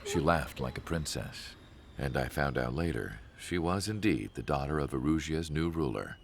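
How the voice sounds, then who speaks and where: A wistful, measured storyteller with a very deep, gravelly voice. He looks back on the past in calm narration for a game cutscene, with faint noise behind him. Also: heavily acted.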